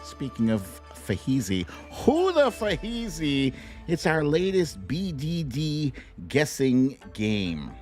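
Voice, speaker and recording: Animated man hosting a casual livestream. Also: fairly high pitch, slow pace, faint music behind. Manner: playful, exclamatory, sing-song with silly catchphrases. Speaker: livestream show host. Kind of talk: casual livestream chat, greeting viewers.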